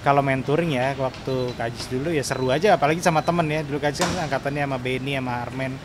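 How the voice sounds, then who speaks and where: A middle-aged Indonesian-accented man speaks in a medium-pitched voice. He interviews in a relaxed, chatty style, agreeing often and repeating key words back. Traffic-like outdoor noise is clearly audible around him. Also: rapid-fire. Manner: frequent affirming backchannels, repeating the guest's words. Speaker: podcast host, interviewer. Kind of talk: casual interview chat.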